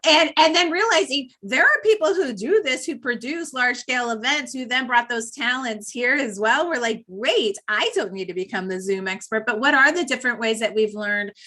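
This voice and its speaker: A woman speaking as a panelist in a virtual panel discussion, with a loud voice and a loose, thinking-aloud delivery full of fillers. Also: fairly high pitch, lively intonation, moderate pace, hardly any pauses. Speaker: panelist, campaign director.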